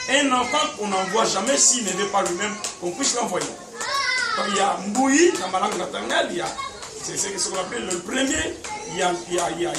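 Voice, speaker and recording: A man preaching a teaching sermon as a pastor, with emphatic repetition and frequent chuckles, speaking French, which is not his first language. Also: very high-pitched. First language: a language other than French. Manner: emphatic, repeats words, chuckles often. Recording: echoey, distant mic, background noise.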